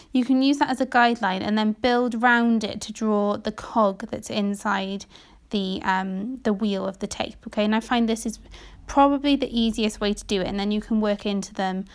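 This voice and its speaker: A woman's voice: a little high, clean tone. A woman with a British accent narrating an informal step-by-step art tutorial in an instructional, explanatory manner. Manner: instructional, explanatory, checking with "okay?". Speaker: art teacher giving a drawing tutorial.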